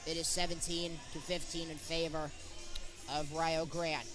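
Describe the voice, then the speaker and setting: A man's voice: a little high, flat delivery, measured pace, music in the background, poor audio. A young man calling live college basketball play-by-play in short, clipped present-tense phrases. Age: young adult. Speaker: play-by-play sportscaster.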